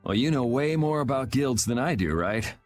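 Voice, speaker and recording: A man voicing a cool, easygoing young swordsman in an animated role-playing video game, casual and matter-of-fact. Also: mid-range voice, normal speed, lightly acted. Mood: teasing, encouraging. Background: faint background music.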